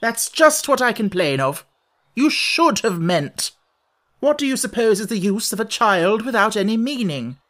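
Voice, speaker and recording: Imperious queen, played by a man: impatient, emphatic, raised pitch, storybook narration. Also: expressive, moderate pace, fairly bright tone. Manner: bossy, emphatic, contradicting. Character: imperious, impatient, scolding Red Queen, grown woman.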